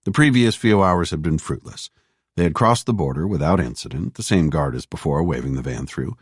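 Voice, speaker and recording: Deep-voiced male audiobook narrator. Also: lively intonation, brisk, husky, dry recording, studio quality. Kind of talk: scripted narration.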